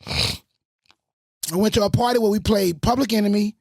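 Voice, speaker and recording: A man from the Bronx and Fayetteville, a radio host and producer, telling animated stories on a podcast and swearing freely. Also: sits high, measured pace, gravelly, no room sound, clean studio sound. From Bronx, New York and Fayetteville, North Carolina. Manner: animated storyteller with a lot of swearing. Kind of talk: casual podcast storytelling.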